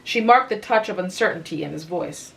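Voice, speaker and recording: A woman giving a calm, relaxing reading of a literary short story. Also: on the low side, gravelly, rough recording. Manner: slow, calm, unhurried. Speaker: reader of literature.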